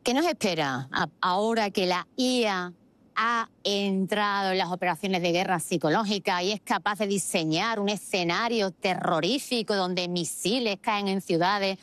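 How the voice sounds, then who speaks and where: A woman's voice: medium pitch, normal speed, fairly bright tone, dry recording. A woman giving opinionated political commentary as a host, arguing emphatically with rhetorical questions and repeating phrases for stress. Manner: argumentative, uses rhetorical questions.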